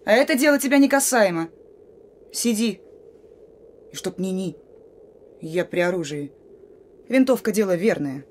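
An actress playing a stern, suspicious young partisan sentry in a radio play, giving brusque, folksy orders. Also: female voice, mid-range voice, lively intonation, moderate pace, pauses often, a little mellow, a little hiss or hum, lightly acted. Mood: wary, gruff. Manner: brusque, rustic colloquial commands. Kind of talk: Russian radio play acting. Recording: radio drama with music.

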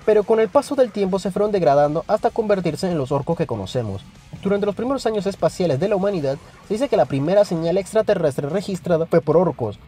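A man narrates a lore explainer in a casual, scripted voice that sits somewhat high for a man. He talks straight to the viewer, like someone explaining to a friend. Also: dark tone, breathy edge. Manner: informal explanatory, addresses viewer directly.

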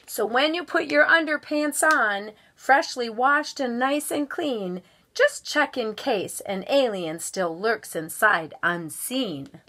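A middle-aged woman reading a children's picture book aloud at home with expressive, drawn-out storytelling delivery. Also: on the high side. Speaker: volunteer guest story reader. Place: small room at home.

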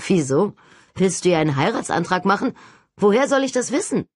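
A woman's voice: medium pitch, big pitch swings, a little fast, darkish tone, no room sound, clean studio sound. A woman voicing a blunt, impatient young female ghost hunter in an audiobook, snappy and exasperated. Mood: suspicious.

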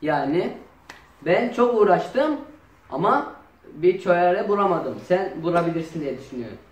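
A young man improvises in a comedy sketch. His voice sits high for a man and he tends to complain. The room around him is echoey. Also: lively intonation, normal speed. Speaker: performer in a children's comedy sketch. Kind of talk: improvised comic banter. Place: home dining room, indoors. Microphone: camera a few metres away.

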